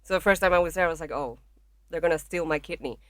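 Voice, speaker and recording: Frank Venezuelan woman, crisp dry voice. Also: deep voice, fast, no room sound. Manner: frank, swears freely, laughs easily. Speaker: guest comedian. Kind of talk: casual podcast chat. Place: small home studio in a bedroom. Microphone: podcast microphone.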